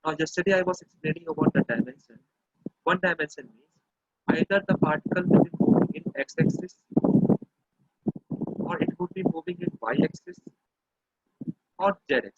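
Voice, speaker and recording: A man's voice: sits high, big pitch swings, normal speed, gravelly, long pauses, slightly rough, dry recording. A man teaching a physics lesson in an online class, repeating key phrases and checking in with "okay" often. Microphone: computer or phone mic over internet call. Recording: dry, muffled lo-fi online class audio.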